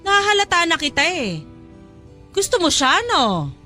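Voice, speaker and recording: A woman's voice: very high voice, huge pitch swings, measured pace, bright, forceful tone, faint music behind, full character voice. An actress playing a pushy, scheming middle-aged mother in a Tagalog audio drama, coaxing and insistent. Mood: accusing, stern.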